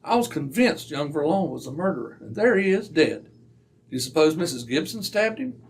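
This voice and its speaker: A man playing an earnest, slightly hesitant gentleman sidekick to a detective in an old-time radio drama, given to old-fashioned exclamations. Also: fairly high pitch, very animated, a little fast. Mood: astonished.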